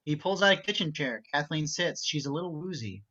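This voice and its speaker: A man's voice: on the high side, expressive, brisk, pushed and bright. A man reading out stage directions as the narrator of an amateur script reading. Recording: dull, muffled home recording.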